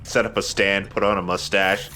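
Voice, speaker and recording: A young man with a fairly deep but bright, forceful voice commentates on a video game. He is casual and joking, with a sardonic, grumbling, sweary streak. Faint noise and game ambience sit behind him. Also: little pitch movement, normal speed. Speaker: let's play host playing the game.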